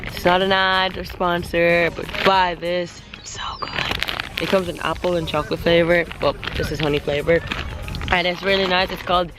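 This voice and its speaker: A hoarse young woman chatting casually in a vlog, rambling with lots of fillers, filmed outdoors on a handheld camera. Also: fairly low pitch, little pitch movement, normal speed. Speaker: vlogger. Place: outdoors on a sunny day. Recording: background music playing under voice.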